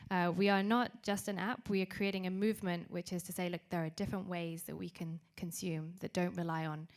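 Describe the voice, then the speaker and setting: A British-accented woman introduces her startup on a conference panel, speaking fluently and in full sentences. Her voice sits a little low for a woman. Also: level tone, a little fast. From United Kingdom. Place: large conference hall.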